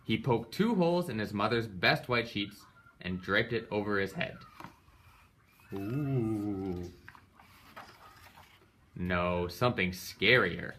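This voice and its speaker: A man reads a storybook aloud for children. His voice is fairly low and bright, and it swings widely in pitch. He is dramatic and leans hard on sound-effect words. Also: sing-song, normal speed, a little hiss or hum. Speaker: storybook reader. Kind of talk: animated children's read-aloud.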